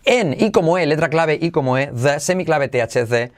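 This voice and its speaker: A man teaching English pronunciation, drilling phrases slowly and over again with playful asides. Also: a little high. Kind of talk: lively pronunciation lesson.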